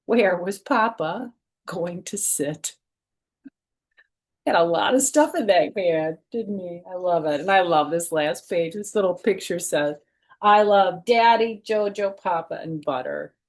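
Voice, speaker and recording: A woman's voice: on the low side, measured pace, darkish tone. A middle-aged woman reading a picture book expressively to children, adding chatty asides, recorded at home. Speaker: children's storytime reader. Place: small furnished room at home.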